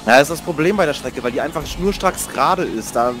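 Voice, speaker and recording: A man in casual, exclamatory gaming chat with friends, excitable as he talks. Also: fairly high pitch, quick pace. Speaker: gamer playing with friends on voice chat. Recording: game voice chat under loud music. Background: music and game vehicle sounds.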